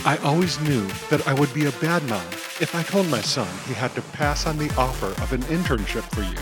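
A man voicing a calm, firm suburban mom. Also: mid-range voice, voice pushed up, lightly acted. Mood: calmly firm. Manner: politely firm, measured. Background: music.

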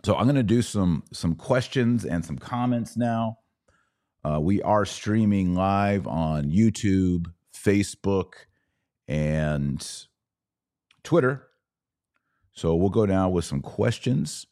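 A man hosting a podcast, giving live political and religious commentary in an emphatic, deliberate way, repeating phrases for stress. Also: low-pitched, expressive, mellow.

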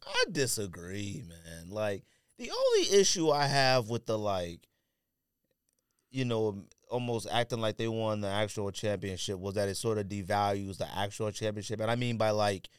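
A man's voice: fairly low pitch, animated, normal speed, clear, resonant tone, no echo, clean studio sound. A man co-hosting a podcast in casual sports banter, slangy and opinionated with an exasperated, ribbing edge. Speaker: podcast co-host.

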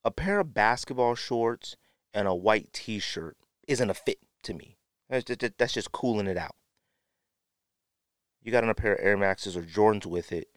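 A man's voice: on the low side, animated, moderate pace, dry recording, studio quality. A man hosting a podcast with opinionated commentary, sniffly from a runny nose and repeating phrases for emphasis. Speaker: podcast host. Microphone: podcast microphone, close.

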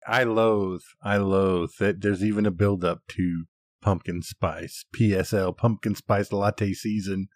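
A man's voice: deep voice, fairly even intonation, unhurried, no room sound, studio quality. A man co-hosting a podcast in casual banter, given to exasperated, exaggerated ranting. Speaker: podcast co-host.

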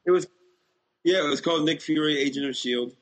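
A man's voice: on the high side, fairly quick. A man co-hosting a casual chat, answering bluntly and briefly with his own opinions. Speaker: podcast co-host.

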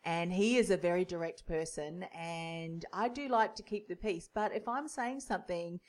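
A woman's voice: fairly low pitch, moderate pace, a little mellow. A woman hosting a podcast interview, affirming and chatty. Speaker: podcast host.

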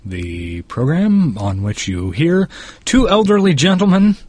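A man's voice: on the high side, sing-song, a little slow, darkish tone, slightly rough, no echo. A man co-hosting a casual podcast, bantering sarcastically and swearing freely. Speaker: podcast co-host.

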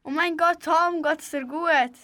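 In an amateur radio play, a young female performer voices a worried but practical girl. She has a high voice and a Swiss German accent. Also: fairly even intonation, unhurried, bright, forceful tone, heavily acted.

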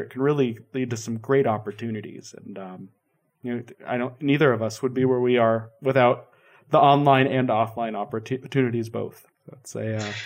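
A man talks in a loose, chatty way, spinning stories with a playful edge. His voice sits at a middling pitch for a man. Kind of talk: casual chat.

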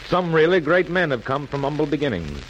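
A man's voice: a little high, big pitch swings, a little fast. A man playing an earnest, oratorical narrator of a patriotic wartime radio documentary, in 1940s radio style. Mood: earnest, stirring. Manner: oratorical, rhetorical. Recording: dull, noisy old 1940s radio recording.

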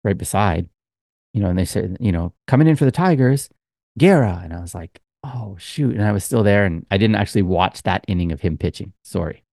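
A man's voice: fairly low pitch, lively intonation, fairly quick, darkish tone, no echo, clean studio sound. A man co-hosting a podcast, telling a long, rambling story in casual chat with lots of fillers. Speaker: podcast co-host.

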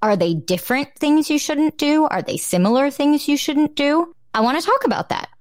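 A woman with a light New Jersey accent tells a dating story to a co-host. She is animated and acts out the people in it. Her laughter comes often. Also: high voice, normal speed. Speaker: online dating coach and host. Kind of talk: casual storytelling chat.

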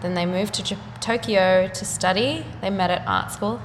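A young woman, an artist chatting casually in an interview, full of fillers and quick laughs. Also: medium pitch. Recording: echoey room with faint noise.

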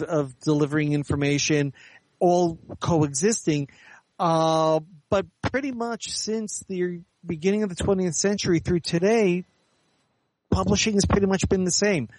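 A man giving measured, articulate, explanatory answers as an author and editor being interviewed in conversation. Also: a little high, fairly even intonation, a little mellow, rough recording.

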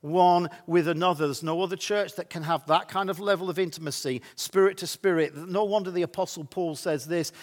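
A man preaching a sermon as a pastor, with a slightly croaky voice and an emphatic, deliberate delivery that repeats phrases for effect. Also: high-pitched. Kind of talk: church sermon.

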